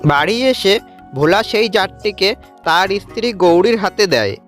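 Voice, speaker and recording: A woman's voice: mid-range voice, animated, a little slow, soft background music. A woman reading scripted narration for a cartoon story as its storyteller.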